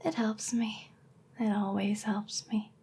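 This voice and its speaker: A woman voicing a young, clingy, lovesick harpy girl in an anime ASMR roleplay, with a breathy, often whispery voice and a hesitant, earnest delivery. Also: medium pitch, level tone, slow, dark tone, heavily acted. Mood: calm, wistful. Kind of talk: anime ASMR roleplay acting, intimate address to listener.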